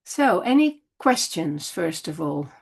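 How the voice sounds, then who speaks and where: An older woman closing a philosophy workshop on a video call, speaking in a calm, encouraging way. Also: fairly low pitch, gravelly. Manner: slow, calm, encouraging delivery. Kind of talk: closing a lecture, then leading Q&A. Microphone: online video call.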